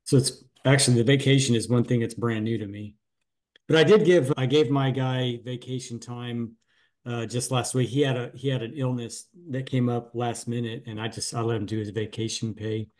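A man's voice: mid-range voice, fairly even intonation, moderate pace, darkish tone. Middle-aged male small-business owner, mid-range voice, rambling and joking, casual video call. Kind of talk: casual chat on a group coaching call. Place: small room at home.

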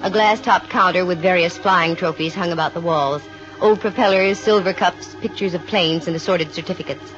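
A woman's voice: lowish voice, moderate pace, a bit bright, lightly acted. An American actress playing a wry, self-assured female private investigator in a 1950s radio drama, with dry wisecracking delivery. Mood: wry, breezy. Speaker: lead actress and narrator. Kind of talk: 1950s radio detective drama acting, with first-person narration. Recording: muffled old radio recording, music behind.

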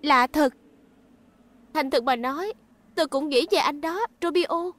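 An actress playing a gentle, anxious young female robot in love, pleading earnestly in a dubbed cartoon. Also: sits high, measured pace. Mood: tender, surprised.

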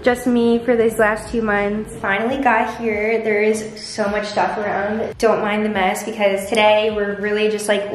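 A woman's voice: medium pitch, a little hiss or hum. Young woman vlogger, bubbly and chatty, talking to a handheld camera in a bare, echoey room. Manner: bubbly, chatty, lots of "like" fillers. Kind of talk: casual vlog apartment tour. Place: empty apartment with bare walls and hard floors. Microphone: handheld camera at arm's length.

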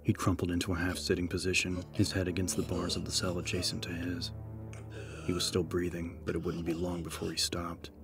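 A man narrating an audiobook in a measured, solemn, scripted dramatic style. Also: deep voice, completely flat delivery, vocal fry, music in the background.

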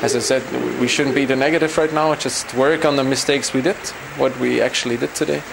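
A young man, a team captain, giving a halting post-game interview in an arena corridor. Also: mid-range voice, steady tone, creaky, noisy background. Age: young adult. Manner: halting, repeating words as he searches. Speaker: ice hockey team captain. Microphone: handheld interview mic.

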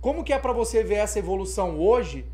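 Podcast host in his thirties, high voice, long rambling questions, desk mic in a green-screen studio. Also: lively intonation, quick pace, dark tone. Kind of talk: casual interview questions.

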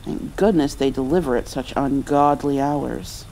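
A woman playing a caring, playful mother in an intimate ASMR roleplay, soothing her grown child with gentle, halting speech and endearments. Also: rumbling low, steady tone, gravelly, slight background noise, heavily acted, rough recording. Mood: wry, affectionate. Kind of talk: intimate comfort ASMR roleplay, acted as one side of a conversation.

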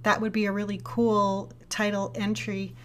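A woman narrating a casual software tutorial, explaining step by step in a conversational way. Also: mid-range voice, monotone, moderate pace. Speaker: tutorial presenter. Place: indoors, voice-over on screen recording.

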